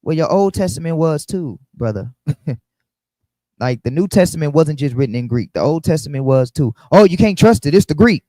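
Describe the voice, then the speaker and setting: A man's voice: on the high side, animated, moderate pace, warm tone, no room sound. A man teaching a casual bible study, often checking in with the listeners by asking "right?".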